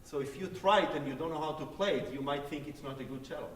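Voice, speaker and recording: A man's voice: on the high side, quick pace, a bit bright, slight background noise. A man whose first language is Hebrew, a guest cellist answering audience questions in a friendly, explanatory way and demonstrating as he talks. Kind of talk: Q&A talk with a concert audience. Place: concert hall. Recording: echoey hall, voice from far off.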